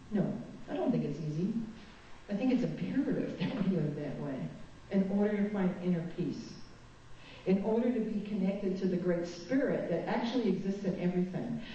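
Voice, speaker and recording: A woman from New Zealand gives a spiritual talk as a guest speaker in a chapel, telling candid stories with a self-mocking streak. Her voice is mellow. It is picked up from far off in a very echoey hall, with a dull, muffled sound. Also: mid-range voice, slight background noise. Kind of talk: spiritual talk with personal anecdotes.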